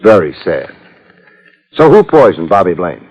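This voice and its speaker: Casting: male gumshoe, sardonic and dry, fairly deep, fifties radio detective drama, muffled recording. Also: very animated, normal speed, a little mellow, exaggerated voice. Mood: dry, probing. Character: wry, cool, wisecracking male private detective. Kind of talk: 1950s radio detective acting, with first-person narration.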